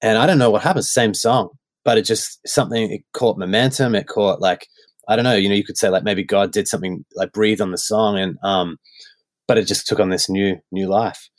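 A man's voice: lowish voice, brisk, dry recording. An Australian man guesting on a podcast, telling stories in a loose, rambling way full of ums and likes. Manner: loose storytelling with many fillers. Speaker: worship songwriter guest. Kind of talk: casual podcast chat.

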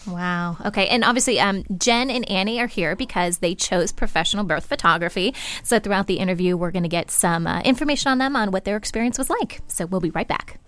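A woman's voice: mid-range voice, a little fast, few pauses, a bit bright, dry recording. A woman hosting a pregnancy podcast in a studio, upbeat and chatty. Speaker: podcast host. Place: radio studio.

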